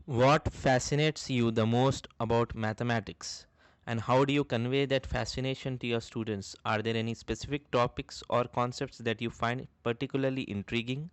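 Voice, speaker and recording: A man's voice: mid-range voice, fairly even intonation, normal speed, clean tone, dry recording. A man reading prepared interview questions in a formal, polite manner.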